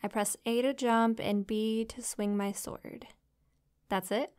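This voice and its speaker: A woman playing a friendly, curious young succubus in an intimate roleplay audio drama, with a soft, playful, inquisitive manner. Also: a little high, steady tone, slow, clear, resonant tone. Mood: curious, eager. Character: friendly, curious young female succubus new to human life.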